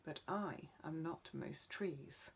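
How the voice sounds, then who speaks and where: A woman's voice: deep voice, takes it slowly, gravelly, slightly rough, heavily acted. A woman voicing a male talking magic tree in a children's story, wise and bossy yet kindly, with an instructive manner. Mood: stern. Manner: chanting rhymes, instructive.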